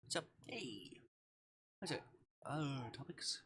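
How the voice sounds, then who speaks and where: Bright-voiced man casually streaming a game. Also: a little high, expressive, takes it slowly. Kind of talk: casual game livestream, reading visual novel dialogue aloud. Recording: clear background noise.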